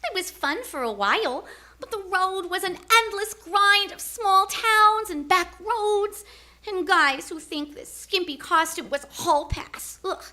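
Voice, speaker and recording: Feisty female radio-drama lead, acted by a woman: sharp, piercingly high voice, snappy and expressive. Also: measured pace, exaggerated voice. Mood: wistful, candid. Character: feisty female library cataloger, former circus acrobat. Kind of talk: live radio drama acting. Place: theater stage.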